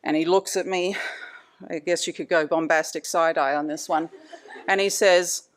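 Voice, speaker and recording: Australian woman lecturing, smooth clear voice. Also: fairly low pitch, normal speed. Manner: lively, chatty, laughs between points. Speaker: anesthesia department leader giving a talk. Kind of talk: presentation lecture. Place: conference room.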